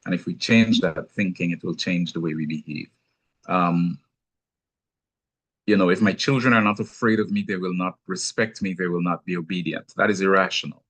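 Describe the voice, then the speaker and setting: A man with a Guyanese accent, giving a measured, explanatory teaching talk with touches of gentle humor. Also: deep voice. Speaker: speaker on anger management.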